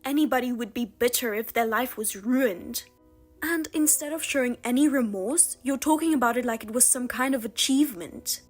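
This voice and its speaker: A woman plays a bitter older sister in a voiced drama, cold and firm, keeping her voice flat and restrained. Her voice sits fairly high, with soft music underneath. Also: moderate pace, close to an everyday voice. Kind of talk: narrated drama voice-over.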